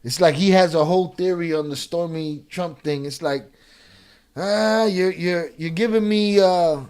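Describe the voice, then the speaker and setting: A man commenting live on a video, sarcastic and animated, with many restarts. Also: fairly high pitch, a little slow, clean tone. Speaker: reaction streamer.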